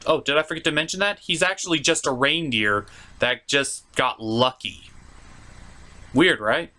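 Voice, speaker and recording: A man's voice: a little high, unhurried, sharp tone. A man delivering scripted review commentary with joking asides and an emphatic, mock-exasperated manner. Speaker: reviewer hosting an anime review show.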